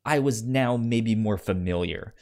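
A man co-hosting a casual review discussion, halting and repeating words as he thinks out loud. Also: lowish voice. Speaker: podcast co-host reviewing comics.

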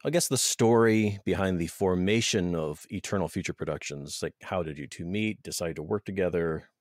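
Brief: deep-voiced male podcast host, playful and rambling, dry close-mic sound. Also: normal speed, no echo. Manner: joking, rambling, self-interrupting. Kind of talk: casual podcast chat.

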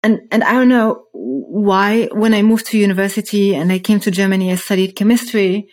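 A woman's voice: medium pitch, moderate pace, darkish tone. A woman in her thirties from Morocco, telling her story as a podcast guest in an earnest, reflective way. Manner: earnest, reflective, frequent restarts. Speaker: guest, startup founder.

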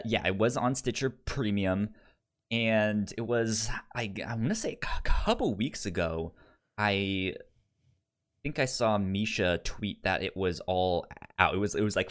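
Male podcast co-host, lowish voice, stuttering delivery, loose conversational review style. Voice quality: stutters, repeating sounds and syllables. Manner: halting, informal, conversational. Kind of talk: casual podcast review chat.

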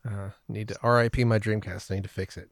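A man's voice: on the low side, little pitch movement, fast, gravelly, no echo. A man talking casually and informally as a guest on a gaming podcast.

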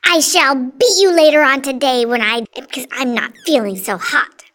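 A woman's voice: high voice, lively intonation, slow, heavily acted. A woman voicing a vain, bossy, nasty aunt from a children's storybook, theatrical in her gushing and scolding. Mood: angry, scolding. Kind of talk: children's storybook character reading.

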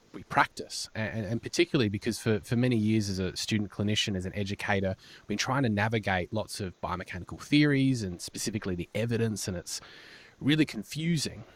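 A man hosting a podcast in an enthusiastic conversational style, often repeating words and restarting his phrases as he goes. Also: lowish voice. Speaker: podcast host.

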